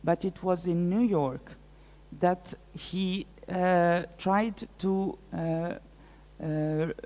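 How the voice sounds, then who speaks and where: A woman whose first language is French, answering audience questions as a guest lecturer, thinking aloud with many pauses and fillers. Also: on the low side, steady tone, warm tone. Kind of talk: live public Q&A. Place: large lecture hall. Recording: reverberant hall.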